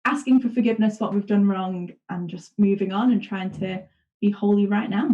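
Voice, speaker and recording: A woman's voice: mid-range voice, brisk. Woman co-host, casual chatty delivery, slightly dull online sound. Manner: warm conversational with fillers. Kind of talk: casual faith chat.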